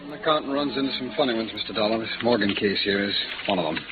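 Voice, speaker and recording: A man's voice: on the low side, rapid-fire, over music, natural voice. A man acting a fussy, folksy accountant who explains at rambling length in a 1950s radio drama. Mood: folksy, explaining. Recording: dull, noisy vintage radio recording.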